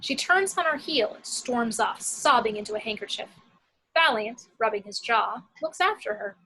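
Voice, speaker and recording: Bright, high-voiced woman narrating. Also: animated, normal speed, slightly rough, low-quality recording. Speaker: narrator reading action descriptions. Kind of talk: scripted screenplay narration.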